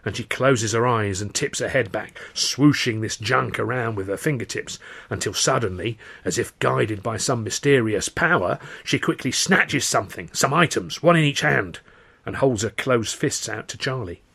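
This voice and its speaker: Man acting British pub storyteller, working-class, colloquial, dramatic, excitable, raspy, creaky voice. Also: mid-range voice, moderate pace. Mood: suspenseful. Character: excitable working-class male pub raconteur spinning a yarn.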